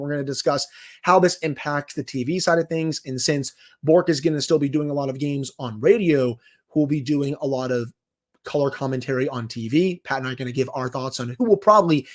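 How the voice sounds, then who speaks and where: A man co-hosting a sports talk podcast in a conversational, enthusiastic way. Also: medium pitch. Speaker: podcast co-host.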